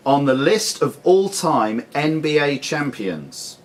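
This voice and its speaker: A man reading questions and giving rulings as quizmaster, deliberate and formal. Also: on the high side, big pitch swings. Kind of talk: reading quiz questions at a live club quiz. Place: sports and social club room. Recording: clearly noisy live club recording.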